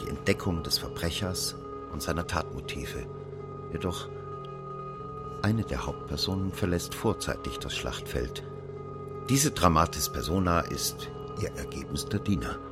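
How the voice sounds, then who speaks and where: An actor narrating in the first person as a former investigating judge in a radio drama, measured and sober. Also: male voice, sits low, expressive. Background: music underneath.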